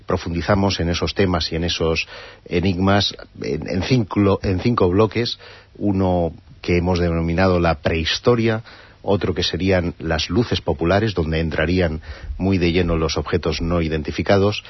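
A man whose first language is Catalan, a guest author explaining his book in a measured way on a radio show. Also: low-pitched, steady tone, no echo. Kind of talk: radio interview. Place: radio studio. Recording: dry lo-fi radio broadcast.

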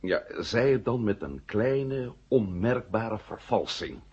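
An actor playing an urgent, persuasive adventurer-investigator in a radio drama, explaining things emphatically and insistently. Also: male voice, on the low side, very animated, slow, a little mellow, husky, heavily acted. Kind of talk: 1970 Dutch radio drama acting. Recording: muffled 1970 radio play recording.

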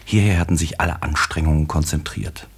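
Male narrator, radio drama. Low, rough-edged voice, scripted literary prose told with dry irony. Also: steady tone, a little fast.

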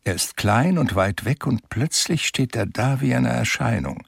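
An actor playing a blind, sensitive man who narrates his life in an audio drama, in measured, wryly understated with a husky, gravelly voice. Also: mid-range voice, expressive, no echo, clean studio sound, exaggerated voice. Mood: melancholy, resigned. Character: blind, highly sensitive, reflective younger brother telling his life. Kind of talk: scripted first-person audio drama narration.

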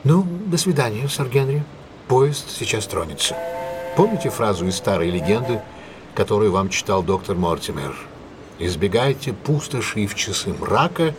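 An actor playing a shrewd, authoritative detective in an audio drama, speaking precisely and in a measured way as he instructs. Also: on the low side, expressive, background noise, lightly acted. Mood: cautioning. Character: shrewd, commanding male detective giving careful instructions.

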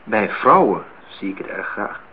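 A man's voice: lowish voice, very animated, measured pace. An actor playing a courting bachelor department head in his thirties in a radio play, formal, teasing and a little hesitant, in intimate dialogue. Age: 30s. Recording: tinny, noisy old radio play recording.

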